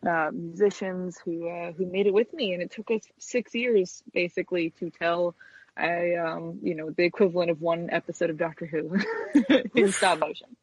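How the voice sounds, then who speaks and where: A woman's voice: on the low side, moderate pace, slightly rough, no room sound, dull audio. A woman in her thirties chatting casually and enthusiastically as an interview guest. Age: 30s. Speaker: guest, stop-motion animator.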